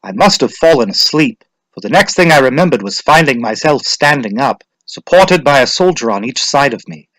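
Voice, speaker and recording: A man's voice: mid-range voice, animated, moderate pace, hardly any pauses, fairly bright tone, rough recording. A man narrating a scripted story as a dramatic reading.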